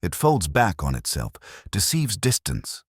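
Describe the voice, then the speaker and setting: A man reading a first-person detective story as narrator, in a measured, brooding, reflective way, close to a studio mic. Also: sits low, huge pitch swings, slightly rough, no room sound.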